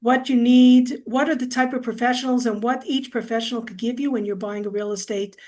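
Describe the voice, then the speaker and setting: Higher-voiced woman opening a webinar. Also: fairly even intonation, brisk, a little mellow. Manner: welcoming, hesitant with fillers. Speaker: lawyer and webinar host.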